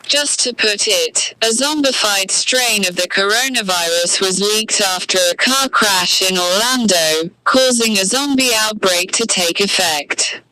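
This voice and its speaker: A formal presidential aide played by a woman in a homemade audio drama. The voice is bright and crisp-edged, medium in pitch, and recorded dry and close. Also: unhurried, few pauses, no echo, rough recording. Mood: serious. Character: formal, serious presidential aide reporting a crisis.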